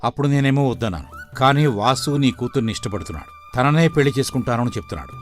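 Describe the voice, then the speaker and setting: A man acts out a middle-aged family patriarch in a moral tale cartoon. He is greedy and used to ruling the house, with a mid-range voice over quiet music. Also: medium pitch, wildly swooping pitch, quick pace. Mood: smooth, evasive. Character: greedy, domineering middle-aged father and elder brother. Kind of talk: moral story cartoon dialogue.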